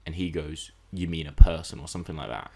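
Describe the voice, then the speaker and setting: A man co-hosting a casual film and TV podcast, building up his points deliberately with rhetorical questions. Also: rumbling low, vocal fry, darkish tone. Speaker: podcast co-host.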